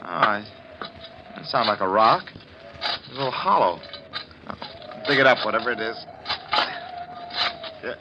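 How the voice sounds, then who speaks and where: Skeptical husband: confident, mid-pitched, animated, noisy old radio drama sound. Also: male voice, unhurried, long pauses. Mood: brisk, dismissive. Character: skeptical husband, estate owner, confident, dismissive. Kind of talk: 1940s radio acting. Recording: noisy, dull 1940s radio recording.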